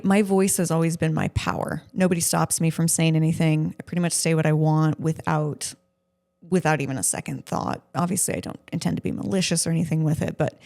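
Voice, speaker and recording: Creaky-voiced woman, blunt podcast host. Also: sits low, a little mellow. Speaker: podcast co-host interviewing her partner. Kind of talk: casual conversational podcast talk.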